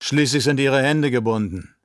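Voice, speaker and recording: A man's voice: medium pitch, moderate pace, dry recording, voice pushed up, lightly acted. A man narrating plays a crude, cocky henchman pilot in an audiobook novel. He is brash and taunting. The sound is dry and close.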